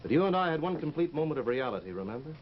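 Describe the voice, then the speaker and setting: An actor playing a brooding, intense sculptor in love, in old-time radio drama style, on a muffled vintage recording. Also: male voice, fairly high pitch, sing-song, very fast, slight background noise. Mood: earnest, intense.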